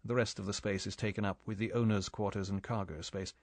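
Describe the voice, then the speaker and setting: A man voicing a confident English agent who talks in a brisk, matter-of-fact way. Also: on the low side, steady tone, no echo, close to an everyday voice.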